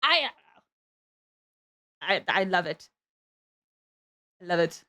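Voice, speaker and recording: A woman's voice: fairly low pitch, drawn-out words. A woman streaming a game with casual, exclamatory commentary, often musing aloud. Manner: animated, exclamatory, muses aloud. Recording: faint game music behind.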